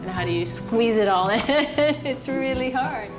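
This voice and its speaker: A woman talking briskly in a documentary interview about her children's schedules and practice. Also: fairly high pitch, over music. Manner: brisk, coaching, laughs between sentences. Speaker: mother interviewed.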